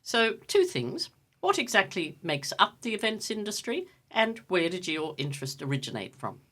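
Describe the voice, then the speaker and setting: A woman's voice: mid-range voice, sing-song, normal speed, sharp tone. A woman hosting a radio interview show, introducing her guest and asking questions in a polished, enthusiastic way. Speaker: radio host.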